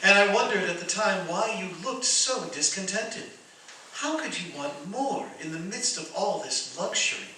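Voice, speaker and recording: A mysterious, calm bringer of goodwill from a radio drama, acted by a man. He gently scolds in a clear-cut, bright and measured voice. The recording is very reverberant and distant, with some noise. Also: high voice. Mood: gently probing. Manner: earnest, measured, gently reproving. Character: calm, moralizing mysterious visitor embodying goodwill. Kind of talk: radio theatre acting. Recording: distant, echoey, noisy stage recording.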